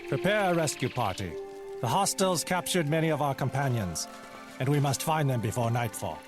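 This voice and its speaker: Over a clear musical score comes a resolute, dutiful Chinese fleet captain acted by a man. His formal delivery rises and falls dramatically at a medium pitch. He carries the grave authority of a game cutscene leader. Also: animated, a little fast, over music, close to an everyday voice. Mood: commanding. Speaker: game character, military leader.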